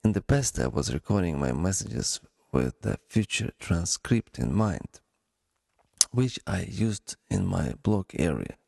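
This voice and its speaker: A man with a Ukrainian accent hosting a solo podcast, speaking in a deliberate, halting way with pauses. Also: low voice, sing-song, creaky, warm tone, breathy edge, no room sound, studio quality. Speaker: podcast host.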